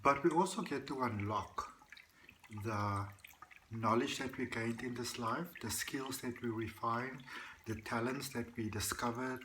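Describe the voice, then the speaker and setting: Guy doing guided meditation, mid pitched voice, calm deliberate delivery, water trickle noise behind him. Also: poor audio. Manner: slow, deliberate, soothing delivery with repeated phrasing. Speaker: guided meditation practitioner and host. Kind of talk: guided meditation, speaking to the listener.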